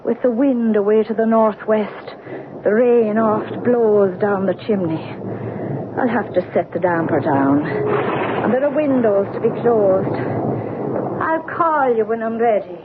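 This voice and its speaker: Old Irish cottage woman voiced by an actress, slow brooding lilt, insistent, radio horror play with tinny, faintly noisy sound. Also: medium pitch, lightly acted. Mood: doleful, grave. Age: older. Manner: lilting archaic dialect, brooding. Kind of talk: old-time radio horror drama acting.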